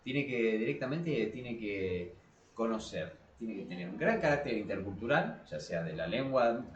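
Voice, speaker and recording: A man with an Argentine Spanish accent in a casual podcast discussion, speaking haltingly and repeating words as he thinks aloud. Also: fairly low pitch, expressive, lo-fi sound, a little hiss or hum. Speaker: podcast co-host. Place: small cramped room below a staircase. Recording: roomy echo of a small room.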